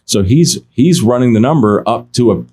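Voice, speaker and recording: A man who works in car auctions, telling stories in a relaxed podcast chat, often restarting his sentences and quoting other people. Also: medium pitch, normal speed, breathy edge. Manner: animated anecdotes, many restarts, quotes others. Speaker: auction insider guest. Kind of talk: casual podcast storytelling.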